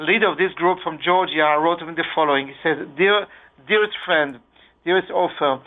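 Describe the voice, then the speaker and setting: A man with a strong Israeli accent, speaking earnestly as a radio guest with many fillers and restarts. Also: on the high side. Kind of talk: radio interview. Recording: phone-line sound.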